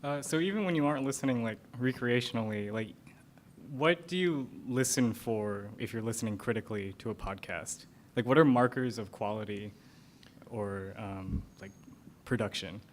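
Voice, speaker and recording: A man's voice: medium pitch, normal speed, slight background noise. A man putting a casual question to a panel, speaking as the questioner.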